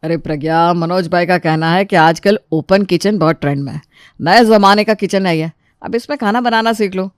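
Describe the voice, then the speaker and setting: A woman voicing a polite, practical husband and son in an animated family story, plain-spoken and placating. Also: fairly low pitch, moderate pace, clear, resonant tone, no room sound, clean studio sound, natural voice. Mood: teasing, dismissive.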